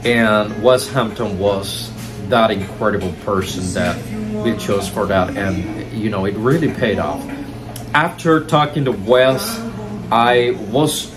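A man's voice: lowish voice, slow, music in the background. A young man from Cuba, a show host telling a story to the camera in an effusive, enthusiastic way while seated on a couch indoors. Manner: effusive, enthusiastic, heaps praise. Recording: steady background noise.

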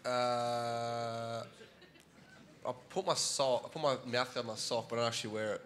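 A man's voice: mid-range voice, little pitch movement, moderate pace, a bit bright, a little hiss or hum. A young Australian man on his first panel show, giving short, plain, slightly shy answers into a handheld mic. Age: young adult. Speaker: guest player.

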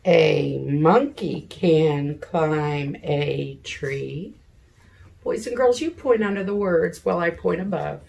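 A middle-aged woman, an elementary teacher leading a read-aloud lesson for young children, speaking deliberately, sounding out words and asking questions. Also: sits low, gravelly, a little mellow, muffled recording. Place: living room at home, seated in an armchair.

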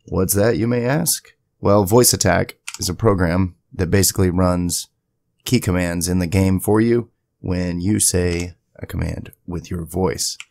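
Deep, gravelly man walking through software. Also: steady tone, unhurried, no echo. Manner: conversational, chatty asides. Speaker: tutorial video creator. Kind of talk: casual screen-share software tutorial. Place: indoors at a home PC, voice-over to screen capture.

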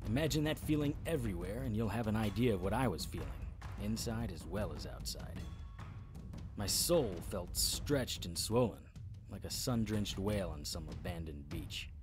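A man playing a wry, defiant supernatural hero in a radio drama, with a husky voice and dry, noir-like storytelling. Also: fairly low pitch, animated, normal speed, music in the background, close to an everyday voice. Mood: grim, intense. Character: wry, defiant male demon hunter and hero. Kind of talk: radio drama acting and narration.

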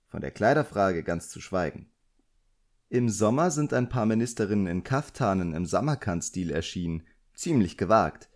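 A man's voice: low voice, a little mellow. A man voicing a fussy, caring middle-aged magician's wife, motherly and chatty, in an audiobook.

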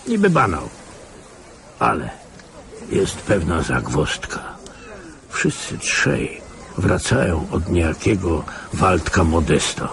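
Gravelly, low old ex-officer. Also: male voice, sing-song, takes it slowly, pauses often, a crowd in the background, full character voice. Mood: recounting, sardonic. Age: older. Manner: sardonic, patronising, chuckling asides. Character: world-weary, cynical old retired security-service officer. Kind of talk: Polish radio play acting.

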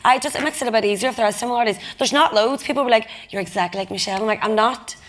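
A young woman talks bluntly on a panel stage, laughing and swearing as she goes. Her voice sits fairly high. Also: rapid-fire, fairly bright tone, slight background noise. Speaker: actress on a panel. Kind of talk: festival panel conversation. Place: stage in front of a live audience.

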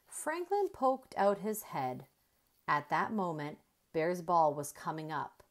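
A woman narrates a children's picture book aloud. Her voice is on the low side and swings widely in pitch. She takes her time, shaping each sentence expressively. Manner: deliberate, animated storytelling with emphasized dialogue. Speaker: children's storybook reader.

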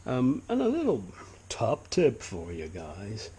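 A man's voice: on the low side, huge pitch swings, takes it slowly, mellow, husky. Blunt, chatty older man in a garage. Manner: blunt, chatty, self-deprecating. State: sniffly. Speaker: hobbyist engine restorer. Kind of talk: casual workshop walkthrough. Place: cluttered home garage workshop.

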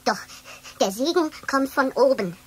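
A woman's voice: high voice, expressive, unhurried, a little hiss or hum. A woman playing a small, cheeky, slightly pompous mouse in a children's audio play. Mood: declaiming.

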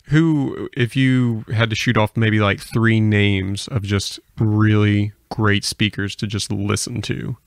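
A man's voice: on the low side, fairly even intonation, slow pace, hardly any pauses, no room sound, studio quality. A young American man hosting a podcast, asking questions in casual conversation. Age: young adult. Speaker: podcast host. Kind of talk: casual interview chat. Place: small room with bookshelves. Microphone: close podcast microphone.

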